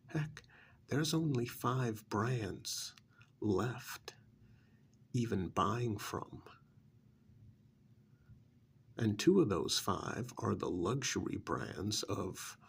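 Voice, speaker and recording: Slow, gravelly, sardonic vampire recruiter played by a man. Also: lowish voice, a little hiss or hum. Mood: sardonic, needling. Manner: sardonic, rhetorical, drawn-out pauses and prodding questions. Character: cynical male vampire's recruiter, sardonic and persuasive. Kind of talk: ASMR role-play monologue.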